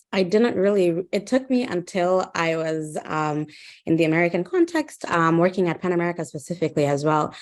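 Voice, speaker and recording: Soft-spoken young woman from Uganda. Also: on the low side. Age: 20s. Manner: thoughtful, many ums and restarts. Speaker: guest speaker. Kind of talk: webinar conversation.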